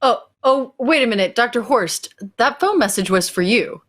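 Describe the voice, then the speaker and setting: A woman's voice: a little high, expressive, normal speed. A woman playing an easygoing young man, a former pilot and brother, in a sci-fi radio play. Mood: easygoing, persuasive.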